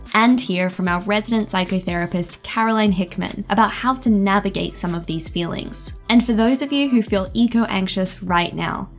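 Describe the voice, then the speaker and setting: A woman hosting a podcast from her home, reading her scripted narration in an earnest, reassuring way. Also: medium pitch. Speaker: podcast host. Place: home in a city.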